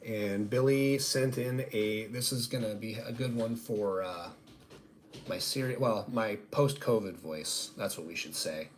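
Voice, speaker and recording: A man's voice: fairly low pitch, measured pace. A man with a slightly nasal voice, hosting a casual live-stream card reveal in a conversational, self-deprecating way. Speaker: sports card grading reveal host. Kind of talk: casual live-stream commentary on trading cards. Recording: noticeable steady background noise.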